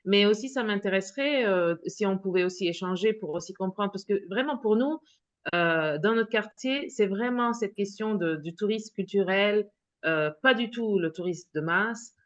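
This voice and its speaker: A woman speaking as a panelist on a video call, halting and restarting often. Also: mid-range voice. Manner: halting, many restarts and fillers. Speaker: webinar panelist. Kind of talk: hesitant casual remarks. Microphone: video call microphone. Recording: dull lo-fi video call audio.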